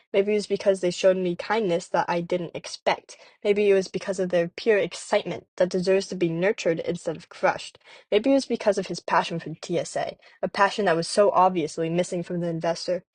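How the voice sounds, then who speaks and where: A woman's voice: lowish voice, steady tone, a little fast, lightly acted. A woman playing a wry, understated, world-weary private detective who narrates the case in a 1950s-style radio drama. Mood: reflective.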